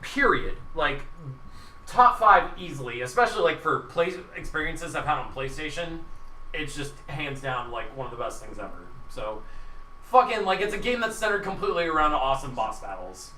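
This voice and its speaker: A man with a very bright, forceful voice and lively pitch hosts a loose gaming chat. He swears freely and leans hard on repeated phrases as he rants. The mic sounds distant in a noisy room. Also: moderate pace. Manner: excitable, emphatic ranting, repeats phrases for stress. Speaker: podcast host. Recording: roomy, distant mic with background noise.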